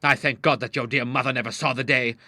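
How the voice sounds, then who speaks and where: A man's voice: medium pitch, steady tone, fast talker, pushed and bright, no room sound. A man voicing a stern, wounded and fiery Victorian doctor father in an audiobook, speaking formally and emphatically. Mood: angry, sarcastic. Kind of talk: dramatized audiobook dialogue.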